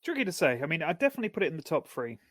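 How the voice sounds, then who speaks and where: A man's voice: fairly high pitch, big pitch swings, very fast, fairly bright tone, dry recording, clean studio sound. A man co-hosting a podcast, chatting casually in a laid-back, agreeable way. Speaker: podcast co-host. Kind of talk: casual chat about films.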